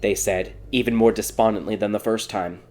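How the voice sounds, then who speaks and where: A man's voice: fairly low pitch, steady tone, a little fast, darkish tone, soft background music. A man reading a scripted fairy tale as a dramatic audiobook narrator.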